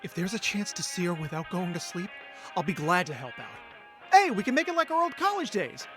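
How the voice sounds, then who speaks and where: A man performs an ordinary, lovestruck young guy in an audio drama. His voice sits high and swings freely between playful and earnest, with music playing underneath. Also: sing-song, fairly quick, sharp tone, full character voice. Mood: joking. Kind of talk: indie audio drama acting with first-person narration.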